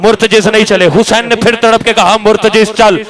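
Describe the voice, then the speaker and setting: A man's voice: high voice, flat delivery, brisk. A man delivering an impassioned mourning sermon, telling the story in a wailing, declamatory voice and calling out to the listeners. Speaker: zakir reciting a majlis. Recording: live gathering crowd noise. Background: mourning crowd.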